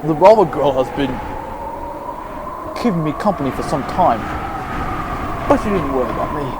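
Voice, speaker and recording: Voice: mid-range voice, lively intonation, moderate pace, heavy background noise, close to an everyday voice. A performer playing a kindly, loyal, courteous talking reindeer in an audio play. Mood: excited. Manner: courteous, pleading.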